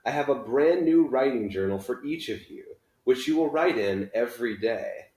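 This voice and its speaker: A man's voice: medium pitch, lively intonation, normal speed, a little mellow, natural voice. A man reading a children's novel aloud, voicing an upbeat, encouraging and kind schoolteacher with emphatic delivery. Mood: enthusiastic. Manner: emphatic, theatrical, reassuring. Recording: echoey room.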